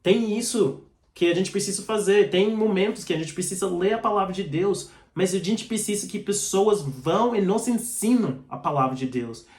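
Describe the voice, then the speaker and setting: A man's voice: sits high, normal speed, a little mellow. A man preaching a sermon in Portuguese with a foreign accent. He teaches in an earnest, direct way and reads out scripture verses. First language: not Portuguese. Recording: echoey room.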